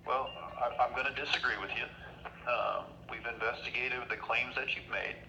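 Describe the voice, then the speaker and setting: A man's voice: mid-range voice, steady tone, normal speed, creaky, pushed and bright. A man speaking as a law enforcement official on a phone call, firm, procedural and matter-of-fact. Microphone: telephone. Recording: dull, noisy telephone line.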